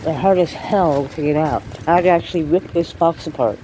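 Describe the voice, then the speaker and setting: A woman's voice: sits low, moderate pace. Congested woman chatting on a walk. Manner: chatty, laughing, rambling storyteller. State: congested from a cold. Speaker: beauty vlogger. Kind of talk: casual walking vlog chat. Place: outdoors on sidewalks, walking. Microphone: handheld phone camera. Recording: noisy outdoor phone recording.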